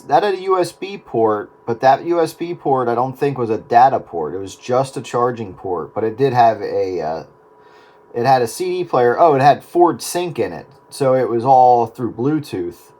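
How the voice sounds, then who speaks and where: A man's voice: mid-range voice, a little mellow. A man having a casual, unscripted chat over the radio.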